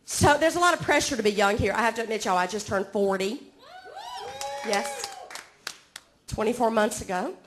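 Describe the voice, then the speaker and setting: A woman's voice: on the high side, very animated, moderate pace, long pauses. A woman in her forties from Georgia with a Southern accent, doing a self-mocking stand-up set on a comedy club stage and chatting with the crowd. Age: 40s.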